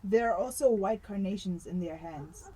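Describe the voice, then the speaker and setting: A woman's voice: medium pitch, moderate pace, warm tone, slightly rough, close to an everyday voice, low-quality recording. A woman acting a matter-of-fact police detective who takes charge, in a radio drama. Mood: businesslike. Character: businesslike female police detective.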